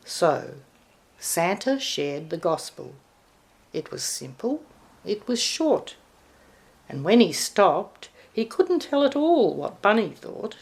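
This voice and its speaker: A woman reading a rhyming poem aloud in an expressive storybook narration style. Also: mid-range voice, takes it slowly. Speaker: poem reader.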